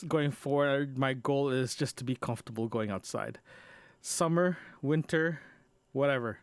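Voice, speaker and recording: A man's voice: medium pitch, clean tone, dry recording. A man chatting casually in a personal audio diary, rambling and often repeating and rephrasing himself. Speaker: podcast host.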